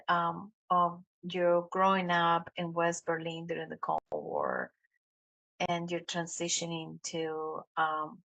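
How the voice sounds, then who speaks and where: Woman, Colombian Spanish accent, interview host, slow, lower voice, hesitant. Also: gravelly, muffled recording. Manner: warm, halting with many "um"s. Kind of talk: interview hosting.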